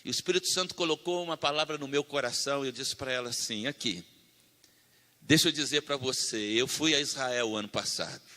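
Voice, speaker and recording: A man preaching a sermon, telling a personal testimony, moving between loud fervent declaiming and hushed storytelling. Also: medium pitch, quick pace. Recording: long hall reverb.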